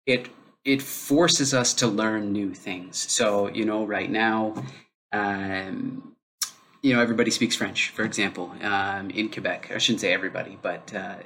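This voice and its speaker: Low-voiced man hosting a podcast. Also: steady tone, measured pace, fairly bright tone, slight background noise. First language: English. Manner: unscripted, heavy use of fillers like um; thinking aloud, restarts mid-sentence. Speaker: podcast host. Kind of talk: solo podcast monologue.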